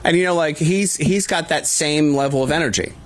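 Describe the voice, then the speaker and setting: A man's voice: a little high, a little fast. A man chatting as a talk-show guest, cracking wry, self-mocking jokes. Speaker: guest commentator. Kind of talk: casual talk-show banter.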